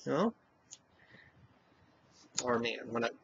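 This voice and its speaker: Vlogger, male, Western Mass accent; slow, rambling delivery, dull lo-fi home recording. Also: mid-range voice, sing-song, vocal fry, fairly bright tone, slight background noise. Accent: Western Massachusetts. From Western Massachusetts. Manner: rambling, many "you know?" asides. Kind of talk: casual talk to camera.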